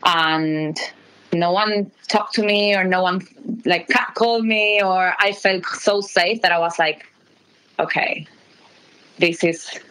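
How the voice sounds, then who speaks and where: A woman, her voice sitting low but sounding bright and forward. She talks fast and candidly, with fillers and restarts. She is heard over a phone line. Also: clear, resonant tone. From Argentina. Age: 20s. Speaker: interviewee, migrant worker. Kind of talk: phone interview, personal story. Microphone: phone call.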